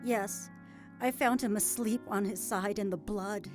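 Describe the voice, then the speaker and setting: A woman acting a grieving, shaken witness with a subdued, halting manner in an audio drama. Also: mid-range voice, over music, close to an everyday voice.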